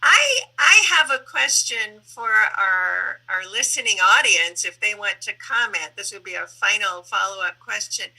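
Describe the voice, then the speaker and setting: Bright-voiced woman moderating a panel. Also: a little high, poor audio. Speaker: host and moderator of a public online panel.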